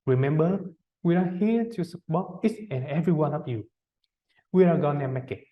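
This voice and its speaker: A man's voice: on the high side, lively intonation, normal speed, reverberant, muffled recording. A man presenting as a business development manager, reading a formal, scripted presentation. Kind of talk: prepared presentation.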